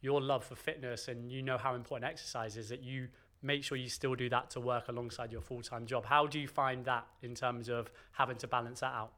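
Male podcast host, medium pitch, informal and conversational interviewing style. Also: steady tone, brisk, bright, forceful tone. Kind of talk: casual interview.

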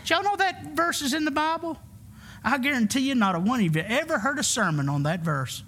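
Pastor guy in his fifties, high voice, bright and pushing hard, call-and-response preaching. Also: expressive, fairly quick, breathy edge. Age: 50s. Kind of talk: sermon. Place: church.

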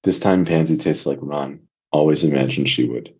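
A man's voice: extremely low pitch, normal speed, darkish tone, slightly rough, lo-fi sound. A man reading and talking as a guest story reader, in narration and casual discussion.

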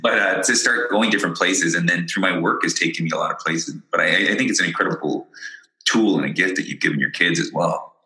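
A very deep-voiced man guests on a podcast, yet his tone comes across bright and forward. He talks earnestly and with enthusiasm, stumbling and restarting his words, and the audio has a roomy echo. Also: fast talker. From born in Ireland, raised in Ontario, Canada. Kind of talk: casual interview chat.

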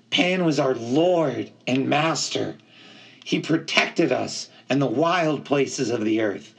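An earnest young male satyr from a storybook, acted out by a man, speaks in a high, husky voice. He is plaintive and emphatic, gloomy about nature and quick to turn indignant, lecturing at an unhurried pace. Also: heavily acted.

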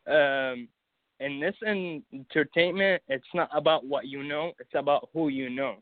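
A man's voice: a little high, unhurried, no room sound. A young man with an Arabic accent, a guest in a casual interview, talking hesitantly with many fillers and restarts. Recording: phone-like narrow sound, dry.

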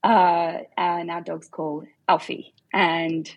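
Husky gravelly-voiced woman. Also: lowish voice, little pitch movement, slow pace. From South Africa, raised in Australia. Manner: chatty, laughs easily, many fillers. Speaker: podcast guest. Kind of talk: casual interview chat.

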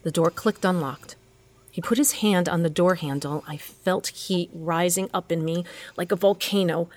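A woman's voice: fairly low pitch, moderate pace, darkish tone, a little hiss or hum, lightly acted. An actress playing a determined woman doctor in an audio drama, switching between narration and urgent, emotive dialogue. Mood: tense narrating. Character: determined, bossy woman doctor and scientist with powers. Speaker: narrator and lead actor in a fiction podcast.

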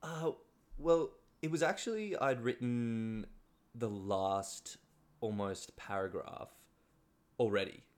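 A man's voice: mid-range voice, slow pace. A man from Australia chatting casually as a playwright guest on a podcast. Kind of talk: casual chat.

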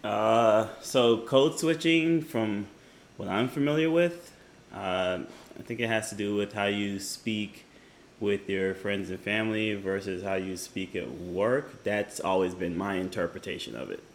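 A man co-hosting a casual debate podcast, talking with many fillers and restarts, growing animated when teased. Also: lowish voice, unhurried, a little hiss or hum. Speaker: podcast co-host.

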